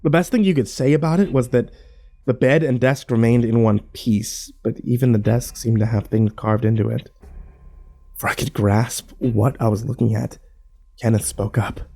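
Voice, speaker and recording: A man playing a grim, fearful survivor, narrating his ordeal in a brooding, measured first-person horror style. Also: mid-range voice, gravelly, warm tone. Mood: grim, uneasy.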